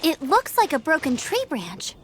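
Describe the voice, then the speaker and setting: A woman's voice: sits high, huge pitch swings, moderate pace, a bit bright, a little hiss or hum, full character voice. A young woman voicing an eager, confident young female scout in a video game. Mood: investigating. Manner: eager and confident, takes charge. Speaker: outrider scout.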